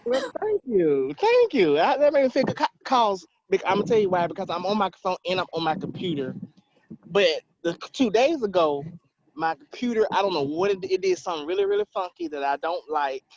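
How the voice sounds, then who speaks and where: A man's voice: sits high, big pitch swings, a little fast, darkish tone. A man in his twenties chatting on a video call from his phone, rambling and correcting himself as he goes. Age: 20s. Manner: rambling, self-correcting, upbeat. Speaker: program participant. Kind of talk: casual video call chat. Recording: dull phone audio with faint noise.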